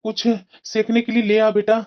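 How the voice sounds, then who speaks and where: A woman voicing a weary, gentle but crafty elderly father-in-law in a moral-story cartoon, plaintive and coaxing, with dull sound. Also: fairly high pitch, monotone, moderate pace, natural voice.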